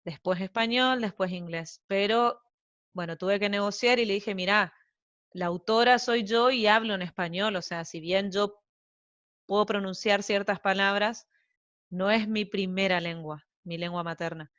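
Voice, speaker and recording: Argentine lady rambling on in an interview, lots of fillers, mid pitch, dull audio. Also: fairly even intonation, moderate pace, fairly bright tone, no echo. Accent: Argentine Spanish. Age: young adult to middle-aged, mother of young children. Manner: chatty, rambling with many fillers and asides. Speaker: translator guest. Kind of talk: casual interview chat.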